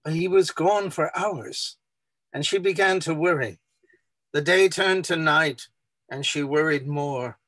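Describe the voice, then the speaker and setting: Man, slightly high pitch, storybook narration, dry close sound. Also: takes it slowly, fairly bright tone, no room sound. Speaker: author reading aloud. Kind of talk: scripted short-story reading, storybook narration.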